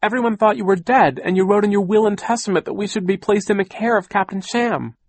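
Man voicing an urgent, high-pitched young girl. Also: steady tone, fairly quick, darkish tone, slightly rough, no room sound. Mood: upset, urgent. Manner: urgent, impatient. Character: earnest, exasperated young teenage girl, eldest sibling. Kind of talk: audiobook character voice.

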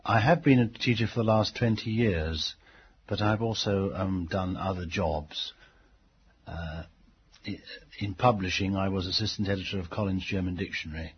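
A middle-aged man giving reflective interview answers as a teacher, speaking haltingly with frequent pauses. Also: low-pitched. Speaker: English language teacher being interviewed. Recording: dull lo-fi tape, faint background noise.

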